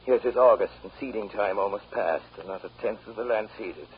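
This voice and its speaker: An actor playing an obsequious, hesitant and wordy Irish rent agent with an Irish accent in a 1930s radio drama. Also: male voice, on the low side, a little fast, natural voice. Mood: deferential, gloomy. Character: deferential, rambling Irish rent agent, anxious before his betters. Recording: muffled, hissy 1930s radio broadcast.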